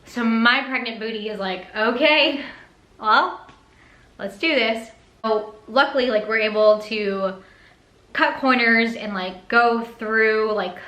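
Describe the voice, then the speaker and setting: Young female vlogger, high and bright, chatty storytelling style, roomy sound. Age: young adult. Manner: chatty, animated retelling with acted quotes. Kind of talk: casual story time vlog. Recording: roomy echo.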